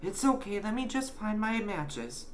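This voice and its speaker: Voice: mid-range voice, sing-song, a little slow, pitched above the performer's own voice. A storyteller voicing a plucky, earnest and slightly exasperated young boy in an audiobook. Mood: reassuring.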